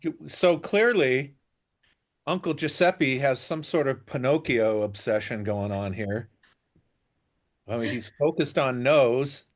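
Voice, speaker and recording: A man guest co-hosting a comedy podcast, teasing and laughing in casual chat, often trailing off softly. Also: takes it slowly. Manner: teasing, laughing, drifts soft and low. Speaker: guest co-host.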